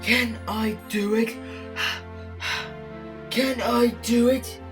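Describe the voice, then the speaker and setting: A woman's voice: on the high side, steady tone, extremely slow, over music, voice pushed up. A woman voicing an eager, anxious but brave male steam engine in a children's storybook, exclaiming with effort. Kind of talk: children's storybook read-aloud.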